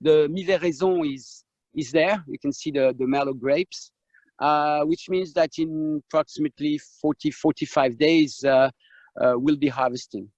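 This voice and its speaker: A man with a French accent speaks from outdoors in a vineyard, halting and full of fillers as he guides a tour. His voice sits a little high, and it comes through a dull, lo-fi video call. The sound is dry and close. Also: a little mellow, no echo. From France. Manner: halting, many fillers and restarts. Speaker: winemaker. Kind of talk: live video tour and tasting talk. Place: outdoors in a vineyard on a hot day.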